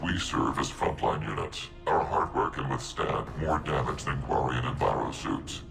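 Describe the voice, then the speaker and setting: Game role: calm synthetic soldier, very low, precise and formal, music behind. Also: male voice, creaky, echoey room, heavily acted. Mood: calm, resolute. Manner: measured, formal, emotionless. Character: calm, logical male-voiced machine intelligence soldier. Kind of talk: video game dramatic acting. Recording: game dialogue over music.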